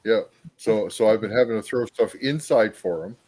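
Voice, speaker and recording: A relaxed man on a video call, chatting and talking to his dog at home. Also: fairly low pitch, normal speed, slightly rough. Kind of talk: casual group chat.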